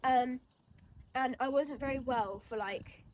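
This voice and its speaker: A woman's voice: sits high, takes it slowly. A young woman riding a horse and vlogging, chatty and rambling, with a cold and a runny nose, talking outdoors in a windy arena. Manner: chatty, rambling with many fillers. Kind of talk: casual vlog chat. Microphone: camera mic, no wind cancelling. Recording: wind and outdoor noise.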